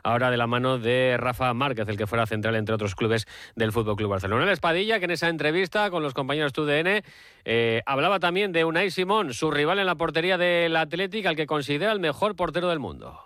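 A man's voice: a little high, animated, fast, fairly bright tone, no echo, studio quality. A man presenting sports news on radio and introducing interview clips.

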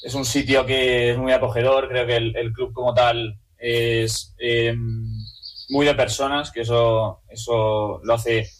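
Even-toned young Spanish sportsman. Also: medium pitch, slow, rough recording. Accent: Spanish from Spain. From Madrid region, Spain. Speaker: athlete, team player. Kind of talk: casual sports interview answers.

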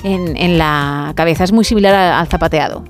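Spanish lady hosting late night radio, middle-aged, chatty n playful, soft music under her. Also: deep voice, normal speed. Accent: Spain Spanish. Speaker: radio host. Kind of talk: casual late-night radio phone-in chat. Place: radio studio. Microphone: studio microphone.

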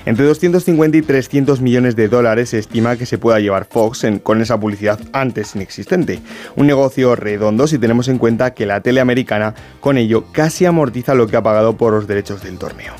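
A man voicing a scripted news report in a brisk, emphatic way. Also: mid-range voice, darkish tone, soft background music.

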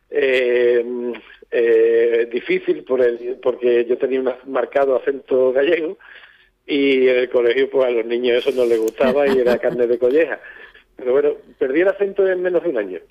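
A man, speaking reflectively as an interview guest, halting as he searches for words. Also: mid-range voice, highs cut off. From southern Spain. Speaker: poet and songwriter guest.

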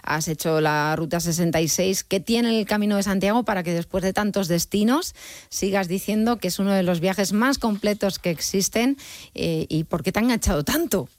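A woman's voice: mid-range voice, moderate pace, no echo, studio quality. A woman with a Spain Spanish accent, hosting a conversational interview. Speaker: radio host interviewing.

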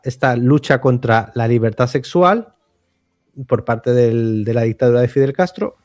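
Halting Spanish man, very dry close sound. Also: mid-range voice, darkish tone, no room sound. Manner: halting, searching for words, seeking agreement. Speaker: literary commentator. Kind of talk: conversational explanation of literature.

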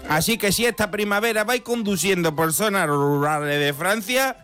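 A man's voice: high-pitched, animated, moderate pace, pushed and bright, clear, resonant tone, soft background music. A man voicing a boozy, belligerent French deer who argues his case with indignant, boastful storytelling in a comic radio monologue. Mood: breezy.

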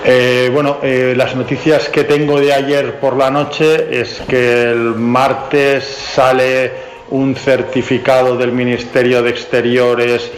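A man giving a halting press conference briefing, full of hesitations and restarts. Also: mid-range voice, little pitch movement. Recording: echoey press room.

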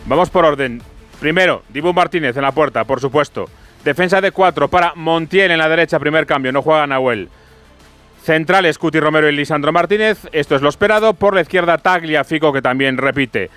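Clear, high-pitched male sports reporter. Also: a little fast, a bit bright, no echo, soft background music. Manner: orderly, methodical. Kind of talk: live team line-up report.

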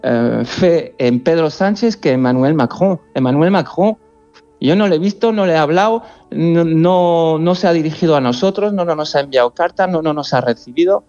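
A man speaking Spanish as a second language in a radio interview, earnest and halting, often repeating words. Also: on the high side, darkish tone. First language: not Spanish. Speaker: journalist, interview guest. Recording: dull lo-fi remote line.

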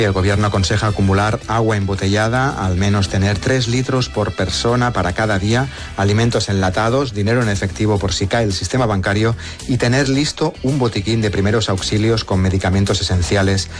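A male correspondent with a fairly low voice reads a radio news report. Faint music sits under him. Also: fairly quick.

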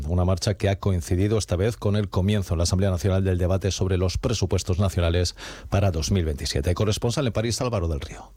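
Brisk deep-voiced male news anchor. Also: warm tone, dry recording. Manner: brisk, formal delivery. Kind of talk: news bulletin reading.

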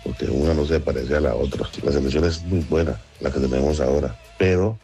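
A Spanish man with an extremely deep voice gives a measured opinion in a street interview. Faint music plays behind him. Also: mellow.

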